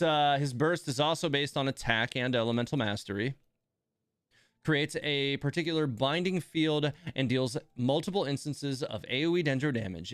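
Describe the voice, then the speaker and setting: A man's voice: mid-range voice, dry recording, studio quality. A man hosting a casual gaming livestream, thinking aloud as he reads and comments on game details. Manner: thinking aloud, reading game text with asides. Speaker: livestream host.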